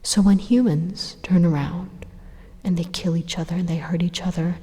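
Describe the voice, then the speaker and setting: Reverberant, breathy evil robot played by a woman, mellow, whispery, deliberate, coldly persuasive, condescending, ASMR roleplay. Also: fairly low pitch, fairly even intonation. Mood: cold indignation. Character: calm, menacing supercomputer robot turned evil, coldly persuasive. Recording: long reverberant echo.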